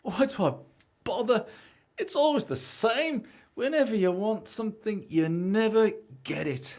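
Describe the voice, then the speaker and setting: A man's voice: high voice, lively intonation, unhurried, darkish tone, a little hiss or hum. A man acting in an amateur radio play as a desperate, self-pitying man, pleading dramatically. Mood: despairing. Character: Gareth, desperate, self-pitying man, broke after a false lottery win.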